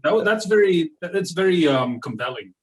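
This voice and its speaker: A man judging a comedy competition, giving thoughtful, halting feedback over a video call. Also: a little high, expressive, low-quality recording. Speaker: competition judge.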